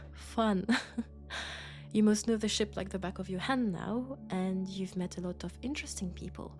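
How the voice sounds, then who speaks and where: A woman with a French accent, sick with a cold, playing a gentle, sociable traveling doctor from Mars in an intimate sci-fi audio roleplay, chatty and soft. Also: medium pitch, moderate pace, lightly acted. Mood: affectionate, playful. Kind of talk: intimate sci-fi roleplay acting, one-sided conversation.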